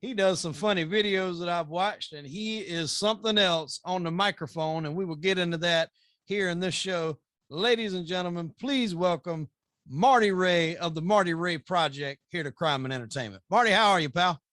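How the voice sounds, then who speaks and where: A male podcast host with a high, bright, forward voice who welcomes his guest in an upbeat, announcer-like way. The recording is dry and close, with no room around it. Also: moderate pace, no echo. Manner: upbeat, announcer-style introductions. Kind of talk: podcast interview.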